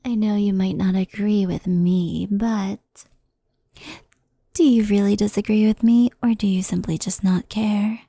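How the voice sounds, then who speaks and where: Casting: woman as gentle, mischievous kitsune mentor for ASMR roleplay; mellow, slow delivery, soft intimate whispering. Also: mid-range voice, fairly even intonation, gravelly, no echo, clean studio sound. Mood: softly teasing. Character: gentle mischievous female fox spirit, doting mentor, faintly anxious.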